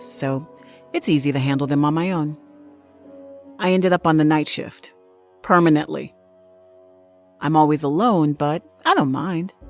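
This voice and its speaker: Low-voiced, solitary night nurse. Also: female voice, darkish tone. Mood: pensive, composed. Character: solitary, unflappable night nurse at remote sanatorium. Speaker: actress, narrator. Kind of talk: first-person scripted audio drama monologue.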